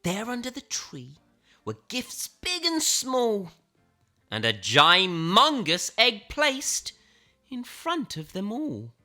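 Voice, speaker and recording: A woman reading a children's picture book aloud in a theatrical way, with dramatic emphasis and suspenseful pauses. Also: mid-range voice, very animated, sharp tone, soft background music. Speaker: storybook reader.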